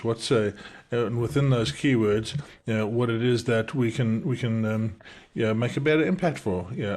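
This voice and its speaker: Fast-talking South African man. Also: medium pitch, a little mellow. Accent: South African, softened by decades in the UK. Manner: fast-talking, with many restarts and fillers. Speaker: entrepreneur demonstrating software. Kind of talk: casual screen-share tutorial. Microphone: webcam in a small circle over the screen recording.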